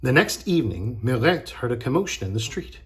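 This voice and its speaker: A man reading a storybook aloud for children as a teacher, dramatic and deliberate. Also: mid-range voice, very animated, a little hiss or hum.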